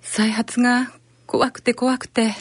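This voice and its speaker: A woman's voice: a little high, little pitch movement, takes it slowly, husky, no echo. A woman playing an anxious, downcast wife recovering from cancer in an amateur radio drama.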